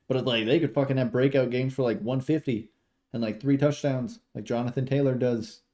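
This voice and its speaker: A man's voice: medium pitch, fairly even intonation, brisk, mellow. An American man doing informal, rambling livestream football commentary that mixes play-by-play with casual, sweary chat. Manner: rambling, informal, sweary, talks sports betting slang.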